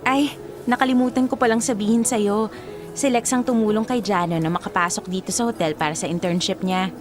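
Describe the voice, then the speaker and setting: A woman's voice: mid-range voice, brisk, close to an everyday voice. An actress playing a supportive, modest young woman in a radio drama, speaking earnestly. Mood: modest, fond. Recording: faint music behind.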